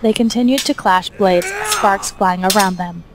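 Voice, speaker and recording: A woman's voice: mid-range voice, measured pace. Woman narrating a story over noticeable noise. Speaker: fan fiction reader, narrator. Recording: noisy hobby recording, steady background noise.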